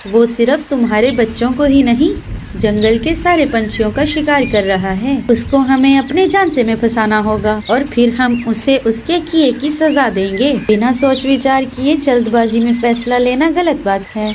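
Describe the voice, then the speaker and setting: A woman plays a plucky mother sparrow from a children's cartoon. The voice is high, earnest and pleading, protective of her chicks. Clear noise sits behind the recording. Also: normal speed, a little mellow, close to an everyday voice. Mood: firm counsel. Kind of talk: Hindi children's cartoon dialogue.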